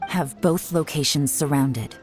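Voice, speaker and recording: A woman's voice: low voice, unhurried, a little mellow, faint music behind. A woman playing a cool, shrewd intelligence chief in a video game, composed, calculating and commanding.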